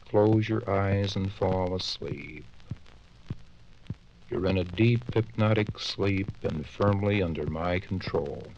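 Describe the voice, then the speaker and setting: A man giving a hypnotic induction, repeating slow insistent suggestions, heard on a dull lo-fi vinyl record. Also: fairly low pitch, gravelly, a little mellow, slight background noise.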